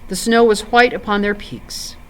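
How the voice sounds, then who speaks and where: A woman reading a story aloud for families in an expressive, clearly phrased storytelling style. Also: mid-range voice, moderate pace, a little hiss or hum, poor audio. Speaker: volunteer story reader and ESL teacher.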